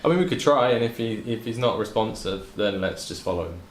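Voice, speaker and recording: A man's voice: lowish voice, lively intonation, a little fast. A man playing a tabletop game, chatting casually with the group. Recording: echoey room, faint noise.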